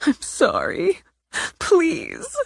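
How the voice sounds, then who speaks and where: A woman voicing a tearful, frantic young woman who pleads in a high, sobbing voice. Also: huge pitch swings, extremely slow, vocal fry, no echo, studio quality, full character voice.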